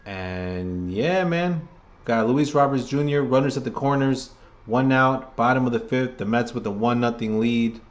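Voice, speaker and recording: A man giving casual, chatty play-by-play for a live chat, seated at a table at home, sounding under the weather with a voice getting hoarse. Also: mid-range voice, measured pace, highs cut off. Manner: chatty, hyped, keeps rallying the chat. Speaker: fan livestream commentator.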